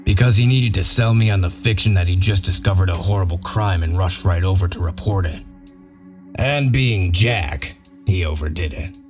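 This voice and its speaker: Here is a man acting out a gruff, shrewd wolf detective with a low voice. He lays things out methodically and with a sardonic edge, in the manner of a comic dub monologue, over faint music. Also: moderate pace, close to an everyday voice. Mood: dry recounting.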